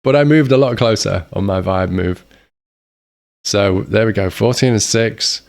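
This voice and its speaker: Low gravelly man thinking aloud. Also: a little slow, darkish tone. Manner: muttering thoughts aloud while searching. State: voice strained, maybe a cold coming on. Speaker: streamer playing a geography game. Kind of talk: casual gameplay commentary.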